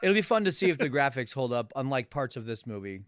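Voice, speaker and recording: A man's voice: mid-range voice, big pitch swings, fast, no echo, studio quality. A man co-hosting a casual movie podcast, chiming in with teasing, sarcastic remarks. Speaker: podcast co-host.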